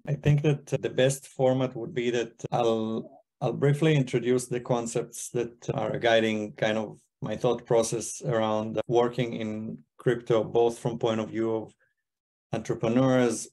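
A man's voice: medium pitch, flat delivery, unhurried, mellow. A man in his thirties from Israel and China, presenting to a video call in a loose, restarting way. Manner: casual, thinking aloud with frequent restarts. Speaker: guest speaker, investor. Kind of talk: webinar presentation. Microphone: computer mic on video call.

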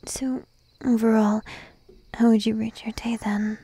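Slow, breathy shy sheep-girl girlfriend, acted by a woman. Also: on the high side, level tone, no echo. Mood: gently attentive. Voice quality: soft, breathy whisper. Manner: timid, coaxing, lingering pauses. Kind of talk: whispered ASMR roleplay. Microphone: close microphone, dry.

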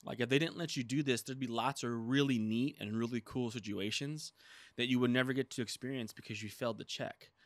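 A man's voice: medium pitch, a little fast, dry recording. A man in his thirties co-hosting a gaming podcast, talking casually and rambling with lots of filler words, on a desk mic in a small studio room. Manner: rambling, many "like" fillers, animated retelling. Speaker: podcast co-host. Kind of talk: casual chat. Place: small studio room with dark curtain backdrop. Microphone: desk mic on boom arm.

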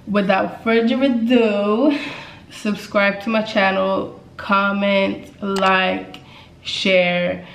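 A woman's voice: medium pitch, creaky. A young woman, talking casually to camera in a vlog from her apartment, chatty and repeating phrases for emphasis. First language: Swedish. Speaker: YouTuber. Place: indoors, bright apartment living room. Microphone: camera mic at desk distance. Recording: echoey room.

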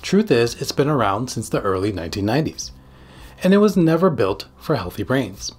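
A man in his forties, a psychiatrist hosting a scripted explainer to camera with emphatic, dramatic phrasing and a slightly husky voice. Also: mid-range voice, sing-song, brisk. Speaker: psychiatrist and video host. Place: small home studio room. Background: background music.

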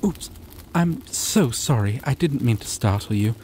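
A gentle, bashful dragon, acted by a man in a fantasy ASMR roleplay. He talks slowly and hesitantly in a mellow, soothing voice, eager to please. There is a faint noise in the background. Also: medium pitch, expressive, close to an everyday voice. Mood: apologetic, hesitant. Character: shy, sweet, gentle young male dragon, eager to please.

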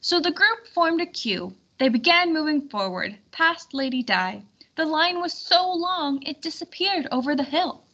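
A woman reading a storybook aloud as an expressive, animated, emphatic storyteller. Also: sits high, a little slow, lo-fi sound. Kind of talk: expressive scripted storybook narration.

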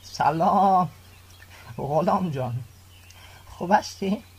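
A man's voice: high-pitched, breathy edge, exaggerated voice. An actor playing a courteous middle-aged village father in a radio drama, with an Afghan Dari accent. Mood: cordial. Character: courteous village father seeking a bride for son.

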